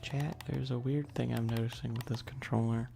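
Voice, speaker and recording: A woman's voice: a bass voice, steady tone, normal speed, gravelly, music in the background. A woman chatting casually and playfully with viewers on an ASMR livestream, teasing and repeating her questions, close to the microphone.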